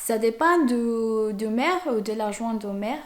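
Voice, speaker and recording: A woman's voice: fairly high pitch, unhurried, a little hiss or hum. A young Mexican woman, a native Spanish speaker with a Mexican accent, chatting informally to viewers as a vlog host. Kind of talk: casual talk to viewers.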